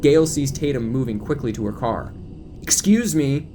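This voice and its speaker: A male narrator reads a scripted audio drama in the present tense, setting scenes and describing action. His voice is mid-pitched, and music plays clearly behind him. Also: a little fast, dark tone.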